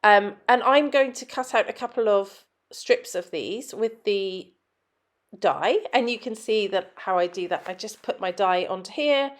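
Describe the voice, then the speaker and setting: A woman's voice: medium pitch, a little slow. Nasal-sounding woman with a head cold. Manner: chatty, explains step by step with frequent ums. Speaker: scrapbook designer. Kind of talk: voice-over tutorial narration.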